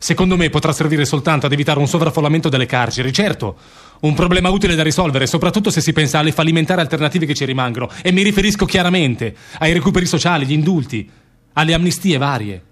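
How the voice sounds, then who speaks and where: A man acting in a radio drama as a doubting, haunted public prosecutor, speaking gravely in measured phrases. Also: on the high side, natural voice. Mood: grave, questioning. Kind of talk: theatrical radio drama dialogue.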